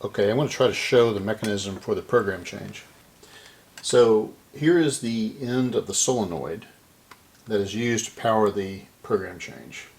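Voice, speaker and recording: Low, creaky-voiced man narrating a repair. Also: normal speed, a little mellow. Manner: explanatory, thinking aloud while working. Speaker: repair video host. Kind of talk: casual hands-on repair narration.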